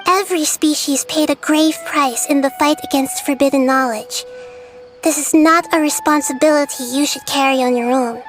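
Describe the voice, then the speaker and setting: Brief: woman voicing a kind little goddess, very high and soft-spoken, faint game music. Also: fairly even intonation, moderate pace, close to an everyday voice. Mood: reassuring. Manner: soft, gentle, reassuring. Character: small girlish goddess of wisdom, gentle and kind. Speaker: Lord of Dendro. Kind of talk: video game voice acting.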